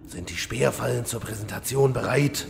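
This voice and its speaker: A very gravelly demon lord played by a man speaks in a long reverb over faint noise. He is vain, envious and insecure, ranting with theatrical exasperation in a fantasy parody audio drama. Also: mid-range voice, moderate pace. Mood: envious, petulant.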